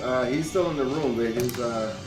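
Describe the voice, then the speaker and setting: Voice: medium pitch, moderate pace, a little mellow, poor audio. A speaker in casual banter with a blunt, teasing, dramatic manner. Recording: voice over clearly audible music. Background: music.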